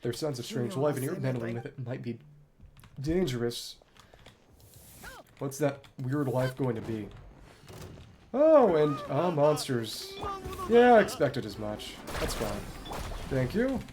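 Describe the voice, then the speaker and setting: Animated man musing over a video game. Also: mid-range voice, a little slow, long pauses, crowd noise. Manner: musing, thinking aloud. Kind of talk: casual gameplay commentary, reading game text aloud. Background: game music.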